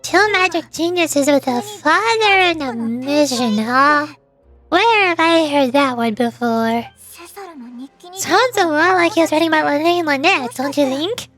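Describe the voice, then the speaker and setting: A woman's voice: high voice, animated, a little slow, a bit bright, soft background music. A woman who streams games as a VTuber, reading game dialogue aloud in character.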